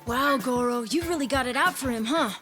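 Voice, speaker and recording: A woman's voice: high-pitched, fairly quick, over music, lightly acted. A woman voicing a good-natured, sickly young boy in a video game. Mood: amazed. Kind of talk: video game cutscene acting.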